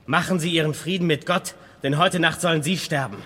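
An actor playing a grim, haunted sailor bent on revenge, terse and intense, in a radio play. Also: male voice, a little high, fairly even intonation, a little fast, bright, forceful tone. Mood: menacing. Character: grim, vengeful stocky sailor, hard and haunted. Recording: long hall reverb, faint background noise.

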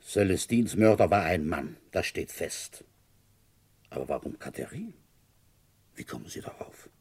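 An actor playing a shrewd, quietly ambitious rural police inspector in a German radio crime drama, questioning in a pointed, probing way. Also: male voice, deep voice, very animated, a little slow, slightly rough, exaggerated voice. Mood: blunt, insistent.

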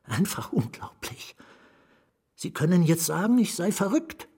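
An actor in a German radio drama playing a haunted, nameless writer who confides in a halting, dramatic way, sometimes hushed to a whisper. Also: male voice, high-pitched, big pitch swings, husky, exaggerated voice. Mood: intense, enraptured. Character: haunted nameless male writer confiding his lost love.

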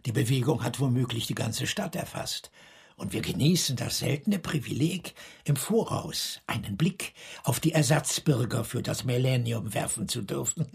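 A man's voice: medium pitch, expressive, normal speed, vocal fry, breathy edge, full character voice. An actor playing an eccentric, haughty, defiant man in a radio drama, speaking theatrically and pompously. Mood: pompous relish. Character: Langley, eccentric, haughty, defiant brother, cranky schemer.

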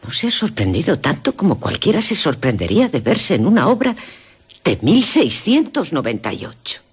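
A wistful young gentlewoman of a gothic radio play, speaking Castilian Spanish. Her voice is husky and very expressive, moving from hushed telling to amazed exclamation. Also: low voice, normal speed, full character voice. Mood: hushed, uneasy. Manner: dramatic, shifting from hushed narration to excited exclamation. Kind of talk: Spanish radio drama acting, narration and dialogue.

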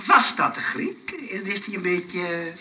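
A grumbling, easily baffled man in a noisy radio play recording. He speaks slowly in big swoops, exclaiming in a patronising way. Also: high-pitched, sing-song, pushed and bright, full character voice.

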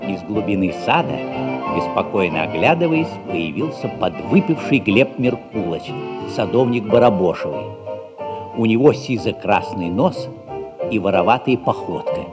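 Low-voiced male narrator over music. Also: animated, moderate pace. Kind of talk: scripted theatrical narration of stage action. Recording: reverberant hall with music underneath.

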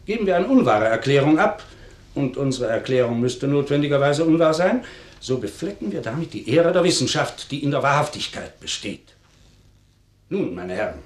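A man acting a conscientious, hesitant physics professor in a 1950s German radio play, speaking formally and in measured rhetorical phrases. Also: mid-range voice, natural voice. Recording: roomy, echoing 1950s radio production.

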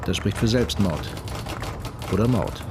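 Formal detective, lively intonation. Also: male voice, fairly low pitch, unhurried, noisy background. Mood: formal, firm. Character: male police detective, formal, firm, methodical. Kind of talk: radio drama acting. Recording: music behind the voice.

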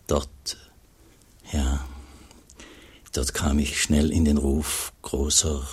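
An older man acting as an old monk who recalls his herding boyhood in a measured, solemn radio drama narration. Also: rumbling low, husky, exaggerated voice.

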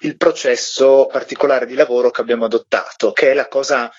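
A man's voice: mid-range voice, fairly even intonation, brisk, slightly rough. A man speaking as an interview guest, explaining things in a conversational way. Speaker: guest, theatre company member. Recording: muffled, lo-fi radio audio.

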